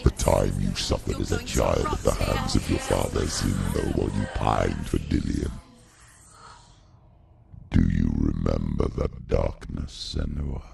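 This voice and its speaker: An actor voicing a dark, taunting spirit in a video game, with a growling, ominous delivery. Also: male voice, a little slow, heavily acted. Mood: ominous, mocking. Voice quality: very deep, gravelly growl. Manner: ominous, mocking. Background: loud music.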